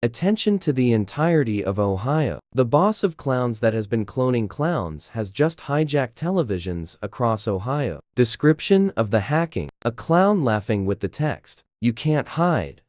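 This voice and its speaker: Man, lively varied pitch, scripted alert announcer, urgent repeated warnings, close dry recording. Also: normal speed, few pauses, no room sound. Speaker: emergency alert announcer in a homemade scenario.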